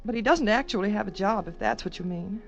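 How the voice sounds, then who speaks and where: A woman's voice: mid-range voice, fast, lightly acted. An actress playing an anxious, refined young married woman in a 1940s radio drama, earnest and hesitant in manner. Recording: dull old radio recording, faint music.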